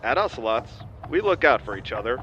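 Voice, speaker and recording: A man's voice: mid-range voice, very animated, a little fast, noisy background, lightly acted. A man acting a slick restaurant commercial announcer in a comedy audio play. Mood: salesy. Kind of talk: mock advertisement voice-over. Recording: dull sound under backing music.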